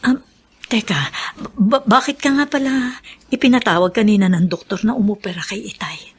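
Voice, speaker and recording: Concerned Filipino housewife, husky medium-pitched voice, meek hesitant radio drama delivery. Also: female voice, moderate pace. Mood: apologetic, hushed. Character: meek, concerned sister-in-law and patient housewife.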